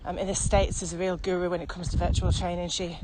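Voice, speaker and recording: Enthusiastic woman explaining outdoors, noisy street. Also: fairly low pitch. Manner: enthusiastic, quick when excited, many ums. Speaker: virtual training expert, guest. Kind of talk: casual explanatory chat. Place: outdoors by a road. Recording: noisy outdoor recording with traffic.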